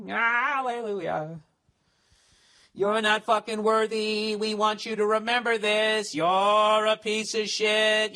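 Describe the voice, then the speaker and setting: A man in his forties voicing a sanctimonious church preacher who scolds in a slow, drawn-out sing-song. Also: high-pitched, pushed and bright, clean tone, pitched above the performer's own voice, heavily acted.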